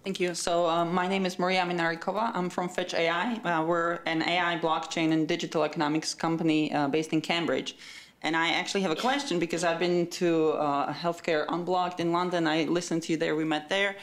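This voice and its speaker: A woman from Slovakia with a low voice asks a panel a question from the floor. She speaks directly and partly reads from prepared notes. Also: little pitch movement, brisk. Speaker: audience member asking a question.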